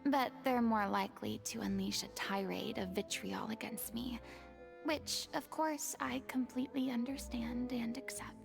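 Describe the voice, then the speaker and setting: A woman's voice: on the high side, fairly even intonation, measured pace, creaky, music in the background. Wistful, remorseful young woman. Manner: soft, wistful, often half-whispered. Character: remorseful young woman, former goddess pretender.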